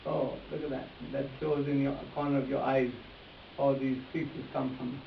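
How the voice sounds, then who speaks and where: A man's voice: mid-range voice, flat delivery, normal speed, creaky. A man teaching face reading in a live demonstration, addressing volunteers directly and repeating his instructions as he goes. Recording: echoey, distant mic, dull sound with hiss.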